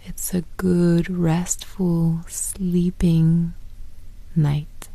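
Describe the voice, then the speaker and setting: Soft-whispering woman, very slow. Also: fairly low pitch, flat delivery, vocal fry, dry recording. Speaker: meditation guide. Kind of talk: guided sleep meditation.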